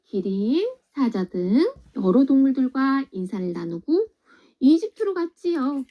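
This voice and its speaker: A woman teacher reading a children's picture book aloud in a gentle, sing-song way, often asking the listener questions. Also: high-pitched, dull audio.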